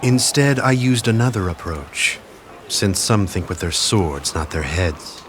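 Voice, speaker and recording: A man playing a shrewd, haughty military strategist of ancient China in a video game cutscene, speaking in a measured, condescending, theatrical way. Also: deep voice, lively intonation, a little hiss or hum. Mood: coolly calculating. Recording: loud music under the voice. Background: game music.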